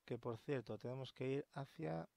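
A man from Spain, by his accent, commentates on a game in a casual, colloquial way. His voice has a gravelly creak and he mutters swearing asides. Loud game rumble sits behind him. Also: moderate pace. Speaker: gamer hosting a video game series. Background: video game sound effects and rumbling ambience.

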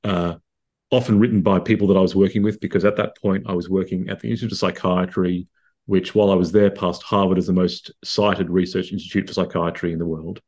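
A man's voice: sits low, level tone, a little fast, a little mellow. A man in his thirties with an Australian accent, a psychiatrist answering interview questions over a video call, articulate and explanatory with frequent restarts. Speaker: psychiatrist guest. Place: home room indoors.

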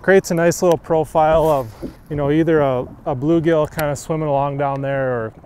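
A man in his thirties, a pro angler, explaining fishing technique in a relaxed, easygoing way from an open boat on a lake. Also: on the high side, fairly quick, slight background noise. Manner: relaxed, easygoing, many fillers. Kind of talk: on-the-water fishing instruction.

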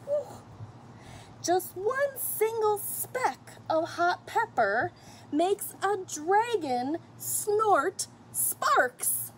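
Woman, middle-aged, children's read-aloud, high voice, highly expressive intonation, emphatic delivery, outdoor camera recording. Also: low-quality recording. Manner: expressive, emphatic, addressing children and characters directly. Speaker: children's story reader. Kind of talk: animated picture-book read-aloud for children. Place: outdoors on a fenced patio or deck. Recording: outdoor camera audio with faint noise.

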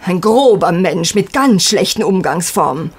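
A woman's voice: on the low side, expressive, measured pace, slightly rough. An actress playing a proper, protective middle-aged landlady in a radio play, speaking earnestly. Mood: disapproving. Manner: earnest, proper, narrating in detail. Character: proper, protective middle-aged mother running a boarding house. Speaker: actor in an audio drama. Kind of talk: German radio play dialogue.

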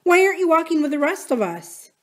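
A woman's voice: extremely high pitch, very animated, quick pace, voice pushed up. A woman voicing a stubborn, hurt young girl who speaks intensely and defiantly in an audiobook story. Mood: hurt, accusing. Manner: intense, emphatic.

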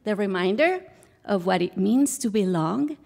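A woman's voice: mid-range voice, animated, measured pace, vocal fry, a little mellow. A woman from Guatemala with a Guatemalan Spanish accent, telling a personal story on stage as a guest. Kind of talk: personal story told to a live audience. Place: live stage show.

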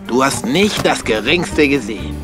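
An actor playing a bossy, secretive penguin commando leader in a cartoon radio play, clipped and conspiratorial. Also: male voice, mid-range voice, expressive, moderate pace, music in the background, natural voice. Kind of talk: animated film radio play.

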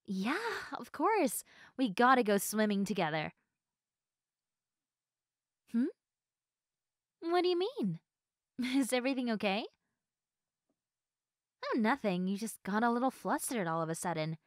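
Playful high-voiced young woman acted by a woman. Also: sing-song, measured pace, pauses often, no room sound, clean studio sound. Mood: playful teasing.

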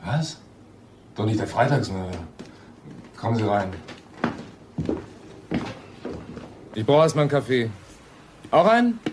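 An actor playing a brash, hungover tenant in a German radio crime drama, casual, blunt and defensive. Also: male voice, medium pitch, big pitch swings, normal speed, background noise, lightly acted. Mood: grumpy, casual. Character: brash, hungover male tenant under suspicion.

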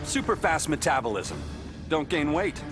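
An actor playing a cocky speedster superhero in a video game, with a breezy, casual, taunting delivery. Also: male voice, a little high, big pitch swings, normal speed. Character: cocky, quick-witted male speedster superhero. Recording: loud music over the speech.

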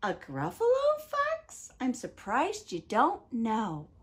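A woman voicing a small, clever brown mouse in a read-aloud picture book, high and excited. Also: slow pace, breathy edge, full character voice. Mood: boastful.

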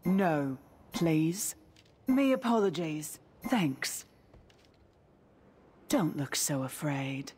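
A woman's voice: low voice, expressive, extremely slow, pauses often, darkish tone, husky, exaggerated voice. A woman voicing a menacing, swaggering undead pirate captain in a video game, theatrical and taunting with dry humor. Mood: matter-of-fact. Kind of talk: video game character voice lines.

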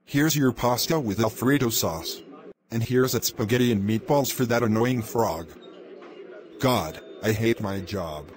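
A man's voice: medium pitch, a little slow, lightly acted. A man playing a grumpy crocodile waiter. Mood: fed up. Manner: blunt, fed-up, deadpan.

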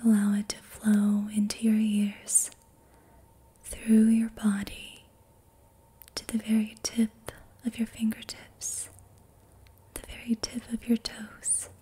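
A young adult woman whispering softly and breathily close to the mic, guiding a relaxation in a gentle, soothing ASMR style. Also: on the high side, completely flat delivery, husky. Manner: slow, gentle, soothing instruction. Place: small dim indoor room. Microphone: close studio mic with foam windscreen.

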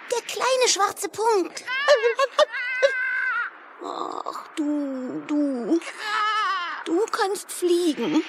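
A woman's voice: high voice, noisy background, full character voice. An actress playing an eager, curious young girl in a children's radio play, with a wondering, childlike manner. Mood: questioning.

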